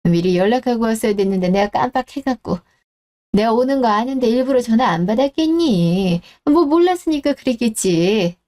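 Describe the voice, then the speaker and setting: A woman voicing a gentle, self-effacing elderly mother in a radio drama who speaks in a soft, apologetic, consoling way. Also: a little high, animated, moderate pace, natural voice. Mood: scolding. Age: older.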